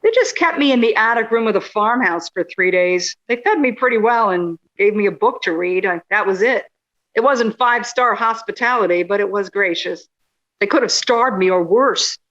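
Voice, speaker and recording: A woman's voice: mid-range voice, animated, normal speed, a bit bright, muffled recording, lightly acted. A woman playing a sarcastic, indignant journalist in a radio play, with a wry way of talking.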